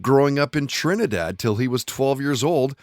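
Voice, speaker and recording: A man hosting a podcast, reading a brisk intro and then interviewing a guest. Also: medium pitch, animated, dry recording, clean studio sound. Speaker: podcast host.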